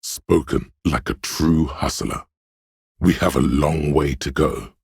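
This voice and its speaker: A man voicing a slick, smug, money-minded inner voice in a video game, speaking in a suave, deliberate, theatrical way. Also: a little mellow, dry recording, studio quality, exaggerated voice. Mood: smug, coaxing. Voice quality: very deep, gravelly, husky. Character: slick hustling inner voice of a detective, smugly money-obsessed. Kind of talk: scripted game narration, theatrical and measured.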